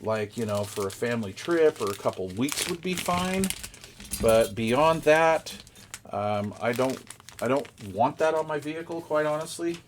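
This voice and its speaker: Casual guy doing an unboxing vlog, average pitch, rambly and down-home, some clear noise and clicks in the recording. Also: lively intonation, a little slow. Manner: rambling, folksy, conversational. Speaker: product reviewer and vlogger.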